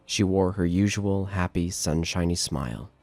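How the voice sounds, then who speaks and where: A man's voice: low voice, moderate pace, a little mellow, dry recording. A man reading scripted narration for a horror audio drama.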